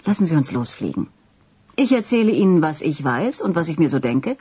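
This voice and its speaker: A persistent woman reporter in a radio drama. She has a husky, medium-pitched voice that swings animatedly, with a teasing, probing edge. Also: very animated, fairly quick, dark tone, exaggerated voice. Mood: persuasive. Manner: probing, playful, insistent. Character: persistent, teasing, self-assured female reporter. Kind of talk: German radio drama acting.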